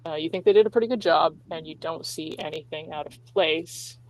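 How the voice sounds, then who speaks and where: A woman game master narrating a tabletop roleplaying game in a halting, informal, explanatory way. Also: deep voice, darkish tone, rough recording.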